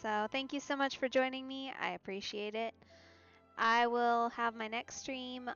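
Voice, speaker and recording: A woman's voice: on the high side, steady tone, unhurried, a bit bright. A woman streaming a video game, giving casual live commentary. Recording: slightly dull sound over game music.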